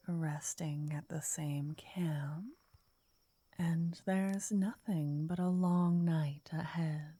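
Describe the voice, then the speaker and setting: A woman playing a gentle, flirty fortune teller in an ASMR roleplay, speaking softly in a breathy half-whisper, coaxing and soothing the listener up close. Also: low voice, no room sound. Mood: softly flirtatious. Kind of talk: ASMR roleplay, intimate scripted address to listener. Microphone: close, dry microphone.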